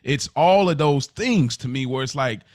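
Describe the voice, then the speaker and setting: Earnest man in halting podcast chat. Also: medium pitch, animated, darkish tone, dry recording, clean studio sound. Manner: halting, repeats phrases for emphasis, earnest. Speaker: podcast co-host. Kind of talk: casual conversational podcast chat.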